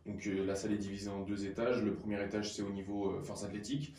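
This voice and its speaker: A man gives conversational answers as a guest athlete on radio. His voice is fairly low, and the sound is roomy and a bit distant from the microphone. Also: little pitch movement, quick pace, mellow. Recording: roomy, slightly distant mic.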